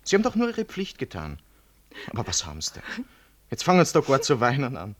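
An actor playing a smug, obsequious junior doctor in a radio play, with an Austrian accent and an unctuous, patronizing manner. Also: male voice, mid-range voice, wildly swooping pitch, a little fast. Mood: patronizing comfort. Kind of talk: 1960s German-language radio play acting.

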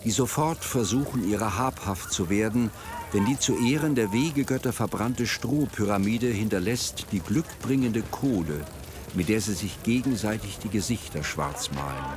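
A man reading literary narration for a radio play in a measured, formal way. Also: on the low side, lively intonation, background noise.

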